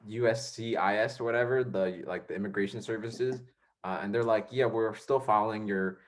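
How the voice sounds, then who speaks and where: A man's voice: fairly low pitch, steady tone, moderate pace. A young man born in Vietnam, hosting a casual podcast chat in an easygoing, self-mocking way. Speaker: podcast host.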